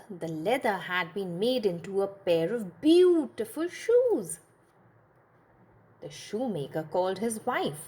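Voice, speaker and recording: A woman narrating a children's storybook with expressive, deliberate phrasing, as a storyteller to young listeners. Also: mid-range voice, husky.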